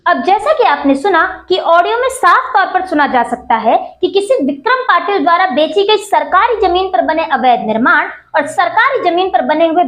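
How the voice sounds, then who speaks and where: A woman's voice: very high-pitched, a bit bright. A woman delivering scripted news commentary as a reporter, in an emphatic, accusatory, rhetorical manner. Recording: roomy echo on the voice.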